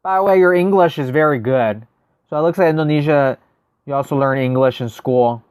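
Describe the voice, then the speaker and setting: A man's voice: fairly high pitch, fairly quick, a little mellow, clear, resonant tone, no room sound, clean studio sound. A man, chatting casually as a livestream host, thinking aloud and answering viewers. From United States. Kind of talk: casual livestream gameplay chat.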